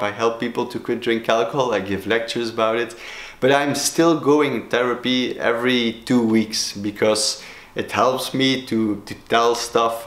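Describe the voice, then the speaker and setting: Belgian dutch accent guy doing a solo vlog, keeps restarting and saying uh, middle pitch, roomy echo. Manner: halting, many fillers and restarts. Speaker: sobriety coach. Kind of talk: solo talking-head vlog.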